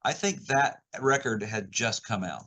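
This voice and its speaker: A man in his sixties hosting a casual interview, telling stories in a halting, conversational way. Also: mid-range voice, sharp tone. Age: 60s. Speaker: podcast host, interviewer.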